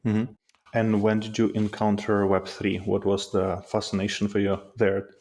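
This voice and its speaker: A man's voice: lowish voice, monotone, normal speed, darkish tone. A man hosting a podcast, asking questions in a hesitant way with fillers. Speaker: podcast host. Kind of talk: interview questions.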